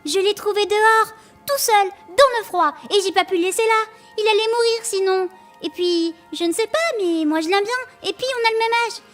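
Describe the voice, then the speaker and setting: Une actrice joue une petite fille audacieuse et affectueuse, à la parole enfantine et décidée, dans une fiction audio. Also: female voice, very high-pitched, moderate pace, fairly bright tone, soft background music. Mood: earnest, pleading. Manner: childlike, cheeky, determined. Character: bold, warm-hearted little girl defending her adopted brother.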